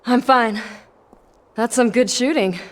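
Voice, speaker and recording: A woman's voice: a little high, takes it slowly. An actress playing a brave, distrustful young woman survivor in a video game cutscene, intense and emphatic. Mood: shaken.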